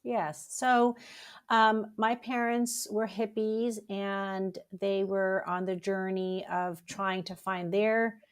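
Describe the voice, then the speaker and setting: A woman's voice: medium pitch, level tone, slow pace, smooth. A middle-aged woman from Germany telling her personal story as a therapist being interviewed. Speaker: interview guest, therapist and author.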